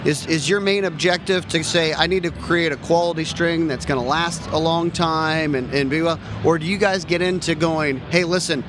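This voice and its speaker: A man's voice: fairly high pitch, fairly even intonation, moderate pace, hardly any pauses, background noise. A man hosting an interview, agreeable and affirming, asking long, careful questions. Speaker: interview host. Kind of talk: casual trade-show interview.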